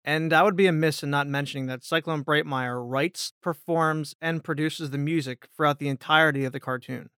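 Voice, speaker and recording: A man talking informally and wordily as a guest voice actor in a casual, animated chat. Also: a little high, fairly quick, few pauses, clear, resonant tone, dry recording, clean studio sound.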